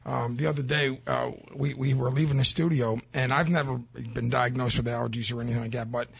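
A young man in his twenties hosts casual talk with a husky, gravelly voice. He rambles his way toward each point. The recording is slightly muffled. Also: medium pitch, fairly even intonation, a little fast. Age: 20s. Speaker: talk show host. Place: radio or podcast studio. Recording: slightly dull studio sound.

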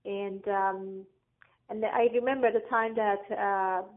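Woman, from Afghanistan, English, halting, flat monotone, tinny call audio. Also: mid-range voice. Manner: halting, searching for words, frequent fillers. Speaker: guest. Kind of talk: interview answers. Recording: tinny phone-like line.

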